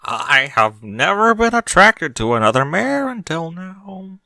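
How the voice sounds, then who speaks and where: A man voicing a pushy, teasing female pony in a story, with drawn-out, commanding delivery and humming hesitations. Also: fairly high pitch, big pitch swings, sharp tone, no room sound, voice pushed up, full character voice. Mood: sultry, commanding. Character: brazen, pushy, lustful adult female pony.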